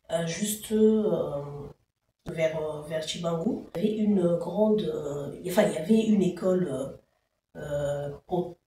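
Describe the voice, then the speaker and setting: Slow, hesitant Congolese woman in an echoey room. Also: fairly low pitch, warm tone, slight background noise. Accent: Congolese French. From Democratic Republic of the Congo. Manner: hesitant, frequent "euh" fillers. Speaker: show creator, interviewee. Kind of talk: slow, measured personal storytelling. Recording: distant, echoey room with faint ambience.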